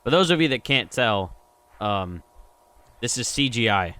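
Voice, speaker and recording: A man's voice: medium pitch, expressive, unhurried, sharp tone, clean tone, no echo. A man giving casual, slangy, profanity-laced reaction commentary as a YouTuber. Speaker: YouTuber reacting to horror videos.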